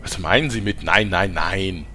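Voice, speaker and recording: An actor playing a bitter former star reporter who now sells armor and insists on haggling, in a comedy radio play. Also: male voice, low voice, normal speed, bright, forceful tone, a little hiss or hum. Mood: indignant. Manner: fussy, indignant, insists on haggling.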